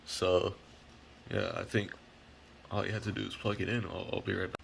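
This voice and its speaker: A man's voice: low voice, little pitch movement, normal speed, gravelly, fairly bright tone, slight background noise. A man talking informally as he hosts a hobbyist unboxing and setup video. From United States. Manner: informal, occasionally swearing. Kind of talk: casual unboxing and setup demo.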